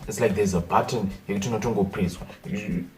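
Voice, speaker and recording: Young Zimbabwean guy, fairly low voice, lively comedic ranter, recorded from a distance. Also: moderate pace, soft background music. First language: Shona. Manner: animated, exasperated, repeats himself. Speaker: vlogger. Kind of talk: casual comedic vlog rant.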